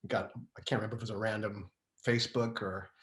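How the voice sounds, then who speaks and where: Echoey, lowish-voiced man chatting casually. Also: level tone, fast, fairly bright tone. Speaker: film director, guest. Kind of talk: casual chat, reminiscing. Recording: echoey room sound.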